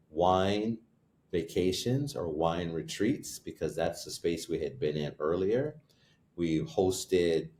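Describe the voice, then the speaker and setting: A man with a very deep voice recounts how his business began, in a loose, conversational way. He searches for words and corrects himself as he goes. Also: a little mellow. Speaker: guest, wine retreat co-host. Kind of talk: casual chat, storytelling.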